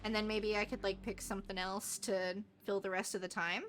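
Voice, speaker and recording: A woman livestreamer chatting casually with her viewers in an expressive, bubbly, rambling way. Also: mid-range voice, fairly quick, slight background noise. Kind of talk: casual live game stream chat with viewers.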